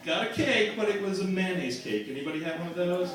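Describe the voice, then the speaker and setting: High, lively male emcee in a noisy club. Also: quick pace. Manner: teasing banter with the crowd. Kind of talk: stand-up hosting. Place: comedy club stage. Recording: echoey club, distant mic, loud room noise.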